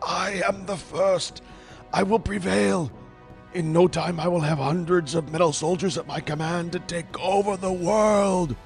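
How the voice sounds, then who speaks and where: A raving, self-proclaimed immortal villain, put on by a male narrator, booming slow, drawn-out declarations far above a normal speaking voice. Soft music runs underneath. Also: steady tone, vocal fry. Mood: raving defiant.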